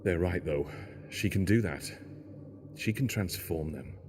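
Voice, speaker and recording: Audio drama survivor: male actor, grim stranded engineer, deep weary man's voice, reverberant space. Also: measured pace, a little mellow, a little hiss or hum. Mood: bitter. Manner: grave, world-weary. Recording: long hollow reverb.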